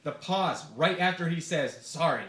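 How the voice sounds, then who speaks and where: Higher-voiced man reading comically, echoey noisy room. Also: moderate pace, a bit bright. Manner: theatrical comic delivery, swearing, mimicking quoted speakers. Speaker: author reading own essay aloud. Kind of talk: live reading of a comic gonzo essay. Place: live reading event in a bar, before an audience. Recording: echoey bar room, noisy live recording. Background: audience laughter, room noise.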